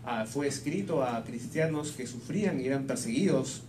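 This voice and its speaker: A man's voice: mid-range voice, expressive, moderate pace. Male sermon interpreter in a noisy, echoey room. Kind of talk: consecutive live interpretation of preaching. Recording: echoey room with clear background noise.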